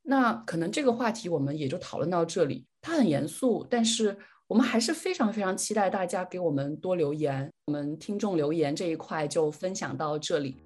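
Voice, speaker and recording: A woman's voice: fairly low pitch, animated, a little fast, few pauses, darkish tone. A woman hosting a podcast, speaking earnestly and reflectively in a casual discussion. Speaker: podcast host steering discussion.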